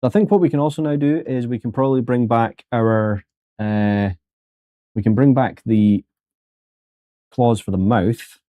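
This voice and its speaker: A man's voice: mid-range voice, a little slow, pauses often, no room sound, narrow, tinny audio. A man teaching 3D sculpting on a live stream in a casual, joking way, talking to his viewers as folks.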